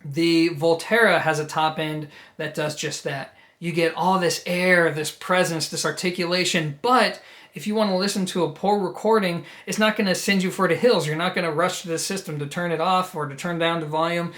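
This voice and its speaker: A man's voice: on the high side, fairly even intonation, moderate pace, fairly bright tone. A man with a New Jersey accent giving a conversational, enthusiastic and emphatic product review straight to his viewers. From New Jersey, United States. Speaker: audio equipment reviewer and host.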